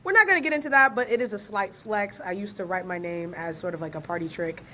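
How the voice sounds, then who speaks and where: A young woman, born in Ghana and raised in Maryland, chatting as a podcast guest in a lively, slangy way and laughing easily. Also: medium pitch, fast, a little hiss or hum. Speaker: guest, author and researcher. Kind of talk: casual podcast interview.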